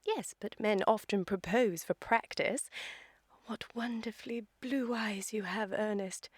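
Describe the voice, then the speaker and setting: A woman's voice: medium pitch, unhurried, no room sound, natural voice. A woman playing a self-assured, romantic young upper-class English lady in a comic radio play, speaking in a precise, affected way. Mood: coy, expectant. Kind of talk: theatrical comedy-of-manners radio acting.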